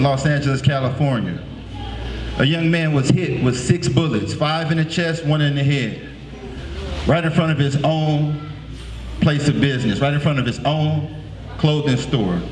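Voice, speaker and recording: A man's voice: fairly high pitch, normal speed, slight background noise. A man in his late thirties from the San Francisco Bay Area, giving a preacherly motivational talk to middle schoolers and asking the crowd questions. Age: late 30s. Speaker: motivational speaker. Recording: echoey room.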